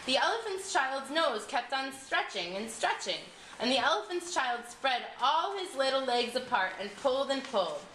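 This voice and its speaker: A woman narrating a scripted storybook tale in an expressive, deliberate way, recorded in an echoey room with faint noise. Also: high-pitched.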